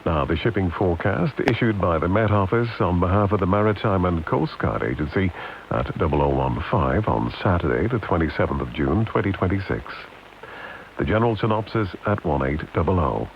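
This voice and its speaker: A British man formally reading a scripted weather bulletin as a radio announcer, in measured, deliberate, list-like phrases, over long-wave radio. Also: deep voice, lively intonation, creaky. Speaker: radio continuity announcer reading shipping forecast. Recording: hissy, muffled long-wave radio broadcast.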